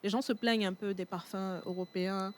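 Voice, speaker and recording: A woman from Togo whose first language is Tchokosi, a perfume entrepreneur speaking as a guest in a TV studio interview, pausing often on fillers. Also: mid-range voice, fairly even intonation. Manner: hesitant, many fillers and restarts.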